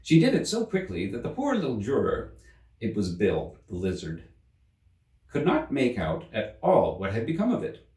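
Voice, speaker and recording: A man reading a children's story aloud as an expressive storyteller. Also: mid-range voice, moderate pace. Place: indoor room. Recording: roomy, slightly distant microphone.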